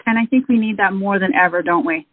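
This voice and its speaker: Effusive woman host with a breathy voice. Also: mid-range voice, fast talker, no room sound. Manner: enthusiastic, effusive. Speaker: show host. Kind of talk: podcast interview hosting. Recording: phone-like narrow audio.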